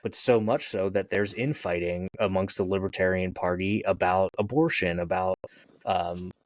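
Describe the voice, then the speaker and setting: A man's voice: low-pitched, steady tone, normal speed, no echo. A man co-hosting a podcast, talking casually about politics in a rambling, hedging way. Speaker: podcast co-host.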